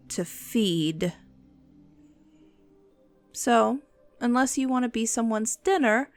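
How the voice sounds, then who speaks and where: A woman voicing a bossy, world-weary but protective female vampire in an ASMR roleplay, speaking in a haughty, condescending, deliberate way. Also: on the high side. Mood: stern warning. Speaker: voice actor in an audio roleplay.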